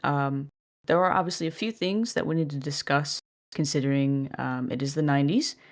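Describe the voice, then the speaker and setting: Monotone woman hosting a casual podcast. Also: sits low, brisk. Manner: self-described monotone delivery; chatty, self-deprecating, mild swearing. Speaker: podcast host. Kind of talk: casual solo commentary.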